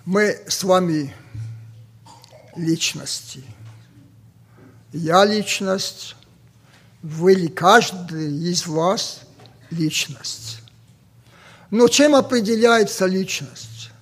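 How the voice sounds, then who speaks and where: A man from Ossetia with an Ossetian accent, a native Ossetian speaker preaching a sermon slowly and deliberately, pausing often with fillers. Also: high voice, fairly bright tone, slightly rough. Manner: slow and deliberate with many pauses and fillers.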